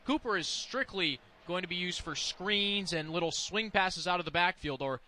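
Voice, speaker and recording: A man's voice: high-pitched, normal speed, pushed and bright. A man with an American accent giving live color commentary on football in an explanatory, conversational way.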